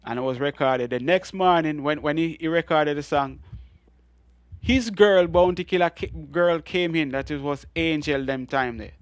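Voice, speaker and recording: A middle-aged Jamaican man with a deep voice, telling animated stories as a guest in an interview. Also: moderate pace, clear, resonant tone, no room sound. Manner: animated storytelling with frequent laughs and restarts. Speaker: guest reggae artist. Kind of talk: storytelling interview.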